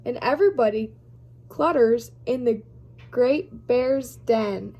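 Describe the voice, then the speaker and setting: A woman's voice: on the high side, takes it slowly, warm tone. A woman reading a picture storybook aloud for young children.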